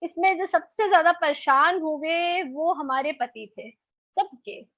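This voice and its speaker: A woman's voice: extremely high pitch, slow pace, no echo, muffled recording. A woman poet who cheerfully and modestly introduces her humorous poem, laughing now and then. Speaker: poet and co-host.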